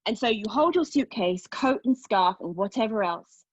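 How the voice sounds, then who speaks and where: A woman acting a dramatic monologue as a wounded, reflective woman, telling her story intimately with pauses and emphasis. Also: medium pitch, animated, slightly rough, no room sound, lo-fi sound. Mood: excited, absorbed. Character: wounded, reflective woman recounting lost love and travel. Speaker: actor in a play table read.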